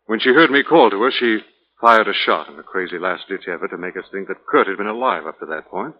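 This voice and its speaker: Gentleman sleuth male actor: fairly low, measured, matter-of-fact, 1940s radio drama on a slightly muffled old recording. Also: natural voice. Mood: composed, explanatory. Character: calm, reasoning gentleman detective explaining a murder case.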